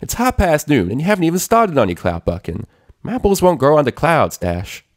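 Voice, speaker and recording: A man's voice: on the high side, huge pitch swings, fairly quick, pitched above the performer's own voice, full character voice. A man voicing a feisty, bossy but friendly country farm mare from a pony cartoon, folksy and emphatic. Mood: exasperated.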